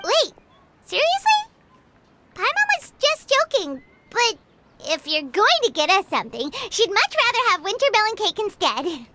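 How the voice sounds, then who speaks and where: A woman voicing a small, cheeky, childlike fairy companion in a video game, squeaky and chirpy, speaking bluntly and excitably. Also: moderate pace, noisy background. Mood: playful, eager. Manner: speaks of herself in third person, blunt, chirpy. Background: music.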